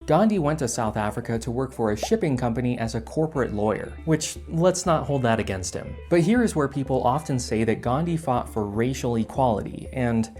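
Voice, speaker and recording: A man's voice: medium pitch, a little mellow. Guy hosting a history video essay, dry sarcastic asides, mid voice, faint music. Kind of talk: scripted video essay narration.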